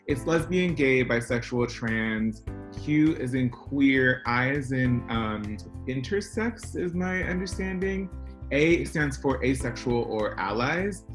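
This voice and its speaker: A man speaks as an interview guest in an easy mid-range voice. He tells his stories in a chatty, animated way. Faint music plays underneath. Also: mid-range voice, takes it slowly. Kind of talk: casual interview talk.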